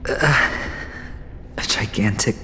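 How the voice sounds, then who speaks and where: A man playing a weary, wry young gambler in a game, speaking in halting, breathy, drawn-out phrases. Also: background noise, close to an everyday voice. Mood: dazed, uncertain. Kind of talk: dramatic game voice acting.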